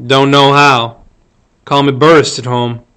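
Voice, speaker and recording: A man's voice: medium pitch, level tone, a little slow, a bit bright, smooth, close to an everyday voice. A man voicing a rude, hulking backwoods schoolboy in a storybook, blunt and surly, with a drawl. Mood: surly, defiant.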